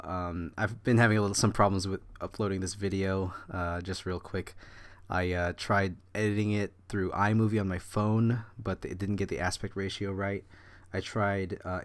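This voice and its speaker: A man's voice: low voice, no echo. A man who is a little sick with a cough, casually talking over a drawing time-lapse in a rambling, meandering way as the channel's host.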